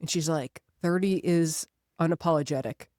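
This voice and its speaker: A woman's voice: sits low, moderate pace, darkish tone, no room sound, clean studio sound. A woman in her thirties speaking as a podcast co-host in casual, reflective conversation, earnest and emphatic, repeating phrases to drive points home. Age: 30s.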